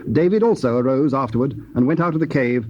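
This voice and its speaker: A man narrating dramatized scripture in a formal, solemn and measured storytelling style. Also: mid-range voice, animated, a little mellow. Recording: muffled, hissy old cassette tape.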